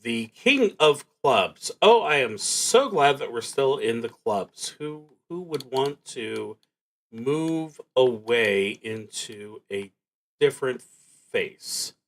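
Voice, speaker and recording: Slow-paced, musing man. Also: mid-range voice, animated, fairly bright tone, rough recording. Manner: halting, musing, trails off mid-thought. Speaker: streamer playing a solo tabletop roleplaying game. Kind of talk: unscripted solo game play narration, thinking aloud.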